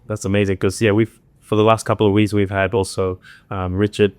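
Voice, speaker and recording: A man hosting a podcast with a smooth, resonant, slightly low voice and a dry close sound. He chats casually, affirming his guest and using easy slang. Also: flat delivery, brisk, no echo. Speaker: podcast host. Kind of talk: casual interview chat.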